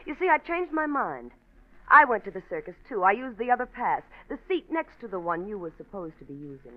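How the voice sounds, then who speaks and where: Sardonic woman journalist for old radio crime drama, played by an actress; pointed, animated, muffled period recording. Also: mid-range voice, brisk, natural voice. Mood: dryly accusing. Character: knowing, sardonic woman newspaper colleague, quietly accusing. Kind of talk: 1940s radio mystery acting.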